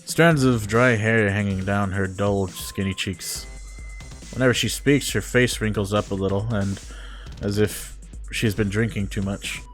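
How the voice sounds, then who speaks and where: A man's voice: lowish voice, fairly even intonation, measured pace. A man streamer reading a visual novel aloud, breaking off for jokey, mock-disgusted casual asides. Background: music.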